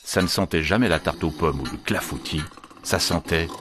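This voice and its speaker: A man telling a children's storybook tale in an expressive, theatrical way. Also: extremely low pitch, moderate pace, background noise. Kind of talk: animated children's storybook narration. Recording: music behind the voice. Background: music.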